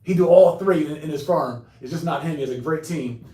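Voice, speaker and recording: A man hosting an online session, talking in an energetic, slangy way with frequent "right?" tags. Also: on the high side, brisk, roomy sound, far from the mic, rough recording. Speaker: host. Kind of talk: casual webinar talk.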